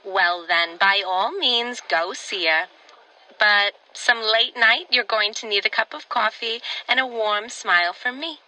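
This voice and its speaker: Woman playing a sharp, sassy diner waitress. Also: medium pitch, measured pace, rough recording. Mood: challenging, flirty. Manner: pointed, flirtatious needling. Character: sassy, teasing small-town diner waitress, old flame. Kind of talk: old-time radio drama acting.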